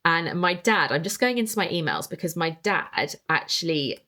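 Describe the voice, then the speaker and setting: Host: young woman, low-pitched, rambling and chatty. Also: lively intonation, normal speed, bright, forceful tone. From England. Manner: chatty, rambling, reading listener answers aloud. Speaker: podcast host. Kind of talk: casual solo podcast chat.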